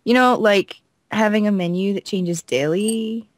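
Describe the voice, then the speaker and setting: A woman co-hosting a gaming video, playfully acting out visual novel dialogue in an expressive, teasing, giggly way. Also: medium pitch, unhurried, no echo.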